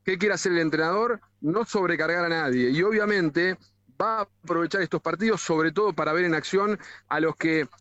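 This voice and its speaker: A man's voice: a little high, normal speed, fairly bright tone, no room sound. An Argentine man with a Rioplatense accent reporting live on sports radio and interviewing people on the street. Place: outdoors in the street outside a stadium. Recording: narrow band live radio link.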